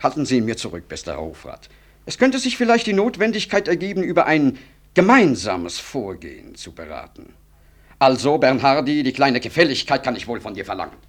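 An actor playing a smooth, self-important government minister in a 1960s German radio drama, suave, rhetorical and condescending. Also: male voice, mid-range voice, very animated, brisk. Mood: suave, pressing.

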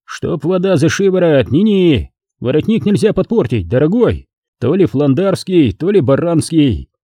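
A raised, busy voice of a fussy male attendant , put on by a male storyteller. The talk is colloquial and chiding, the rough-and-ready manner of a storybook helper. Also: a little high, very animated, very slow, dark tone, no echo, voice pushed up, full character voice. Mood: fussy, coaxing.

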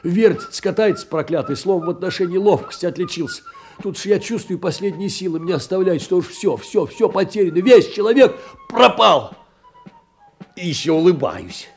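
An actor playing a poor, meek, aggrieved middle-aged clerk in a theatrical audio drama, plaintive and tender with agitated outbursts. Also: male voice, sits high, huge pitch swings, moderate pace, soft background music, full character voice. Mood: flustered, ashamed. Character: poor, meek, aggrieved clerk pouring out his heart in letters.

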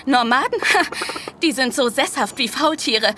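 An actress playing an agitated, outspoken housekeeper in a radio play, exclaiming and blunt. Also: female voice, sits high, a little slow, a little hiss or hum, exaggerated voice. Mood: scornful. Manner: excitable, exclaiming, outspoken and scornful. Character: agitated middle-aged woman, blunt and prejudiced.

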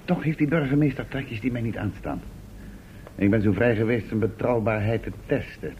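A man's voice: on the low side, normal speed, darkish tone, close to an everyday voice. Professor's older assistant male actor: shrewd, dry, commanding; vintage radio serial, dull with faint noise. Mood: suspicious, bossy. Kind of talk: old Dutch radio drama acting.

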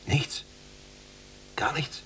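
An actor playing a watchful, coolly domineering younger prisoner in a radio drama, speaking in measured, musing phrases. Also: male voice, pushed and bright. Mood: tense. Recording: distant mic, noisy hum.

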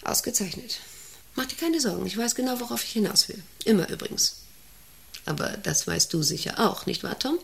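Creaky-voiced, domineering woman boss. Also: on the high side, animated, fairly quick, a bit bright. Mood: brisk, probing. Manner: rambling, commanding, intrusive questioning. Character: domineering, eccentric female factory director, needling an employee. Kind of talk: German radio play acting.

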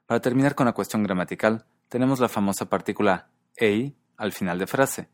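A man hosting a podcast who explains things in a measured, scripted narration. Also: on the low side, no echo, studio quality. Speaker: podcast host.

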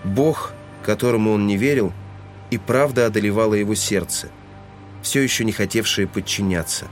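A man's voice: lowish voice, fairly even intonation, normal speed. Male narrator over prominent music. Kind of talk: scripted literary narration in audio drama.

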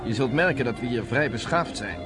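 An actor playing a self-assured, courteous resistance fighter with a dry edge, in radio drama dialogue. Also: male voice, medium pitch, lively intonation, brisk, close to an everyday voice. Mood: relaxed, courteous. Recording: radio play with loud music, crowd.

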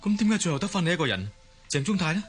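A worried grandson, swingy slightly high voice, hong kong cantonese radio play. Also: male voice, very animated, very fast, soft background music. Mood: questioning. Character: anxious, questioning young grandson. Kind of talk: Cantonese radio drama acting.

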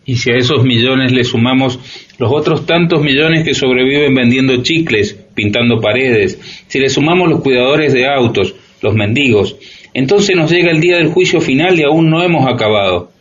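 A man argues emphatically in scripted commentary. His voice is medium in pitch, on a slightly muffled radio recording. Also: moderate pace, slight background noise.

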